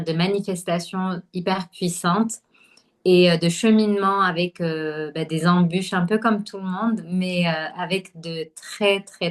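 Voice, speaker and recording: A woman from Algeria telling her story on a podcast in a slightly low voice. She speaks casually and hesitantly, with many fillers and restarts. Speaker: podcast guest, holistic nutrition coach. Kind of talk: casual conversational interview.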